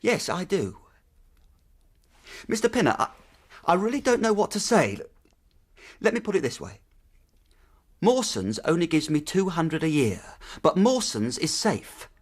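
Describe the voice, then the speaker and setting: An actor in a radio drama playing a modest, earnest young clerk, deferential and hesitant. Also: male voice, fairly high pitch, very animated, measured pace, long pauses. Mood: astonished, hesitant. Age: young adult. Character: modest, eager, naive young male stockbroker's clerk.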